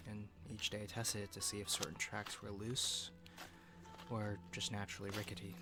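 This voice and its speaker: A man playing a weary young survivor narrating a reflective audio log, slow and halting, trailing into breathy murmurs. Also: fairly low pitch. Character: weary young male survivor recording a log in an abandoned city. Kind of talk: dramatic first-person audio log narration.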